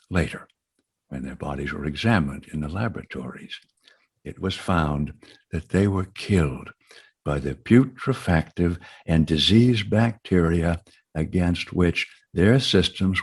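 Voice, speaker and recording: An actor playing a reflective professor narrating the end of a radio drama in a slow, measured manner. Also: male voice, deep voice, breathy edge, heavily acted.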